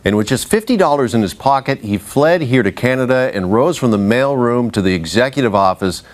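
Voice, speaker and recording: A man reading a scripted introduction in a smooth, polished style. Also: mid-range voice, expressive, fairly quick. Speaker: narrator.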